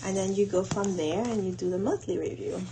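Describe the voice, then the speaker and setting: A woman talking casually and haltingly as she thinks aloud through her planning in an audio log. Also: mid-range voice, low-quality recording. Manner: unhurried, halting, thinking aloud while doing tasks. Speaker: host of a planning audio log for a women's group. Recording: noticeable background noise.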